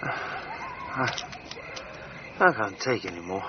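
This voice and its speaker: Proud gentleman adventurer, muffled recording. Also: sits low, expressive, very slow, heavily acted. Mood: weary, despairing. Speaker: actor. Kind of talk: radio drama acting. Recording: dull sound with faint background noise.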